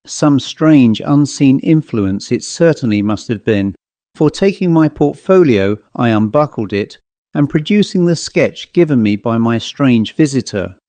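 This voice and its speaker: A man narrating a literary ghost story as an audiobook, in a measured storytelling manner. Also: medium pitch, warm tone, no room sound.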